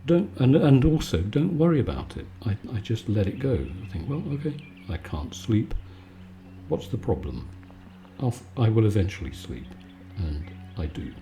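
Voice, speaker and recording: A man giving a calm, soothing, conversational bedtime chat in a relaxed ASMR style. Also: on the low side, normal speed, faint music behind. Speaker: video maker and host.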